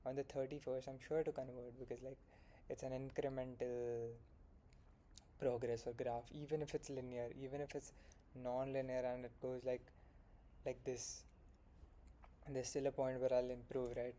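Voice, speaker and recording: Flat-voiced Indian man rambling late at night. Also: medium pitch, moderate pace, pauses often, a little hiss or hum. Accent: Indian English. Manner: rambling, frank, with fillers and self-corrections. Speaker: solo founder vlogging his progress. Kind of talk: late-night casual vlog monologue.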